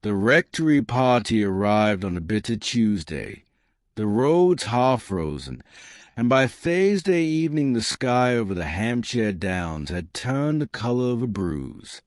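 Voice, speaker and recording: A man reads historical fiction with a measured, dramatic touch. His voice sits on the low side and moves very slowly, rising and falling expressively. The sound is dry and free of room echo. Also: very animated. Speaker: audiobook narrator. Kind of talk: scripted dramatic storytelling narration of historical romance.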